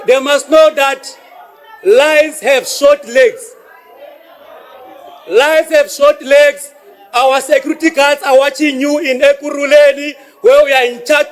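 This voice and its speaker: A South African man, a councillor giving a heated, combative speech in a council debate, often shouting. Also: very high-pitched. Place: council chamber.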